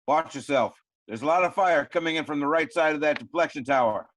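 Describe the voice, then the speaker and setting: Brief: male actor as rebel squad leader in a space dogfight drama, fairly high male voice, urgent and commanding. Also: brisk, fairly bright tone, close to an everyday voice. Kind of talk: virtual table read of a sci-fi film script.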